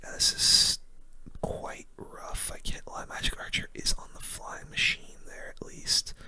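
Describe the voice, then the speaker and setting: Someone whispering casual, laid-back game commentary in a soft, breathy ASMR style, close to the microphone. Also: unhurried. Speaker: gaming video creator.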